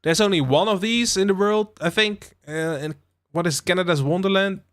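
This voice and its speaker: A young man from the Netherlands hosting a relaxed game livestream, rambling with lots of fillers and asides to his chat. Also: high-pitched, normal speed. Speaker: livestream host. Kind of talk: casual live-stream chat while playing a game.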